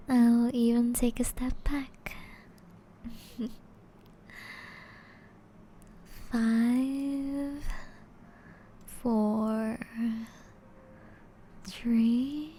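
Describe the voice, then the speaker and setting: Woman acting a slow, dark-toned, breathy seductive vampire lady. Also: sits high, steady tone, slight background noise. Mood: daring, playful. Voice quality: breathy, half-whispered. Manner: slow, teasing, elegant formal phrasing. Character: seductive aristocratic female vampire, flirty and predatory. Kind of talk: intimate ASMR roleplay.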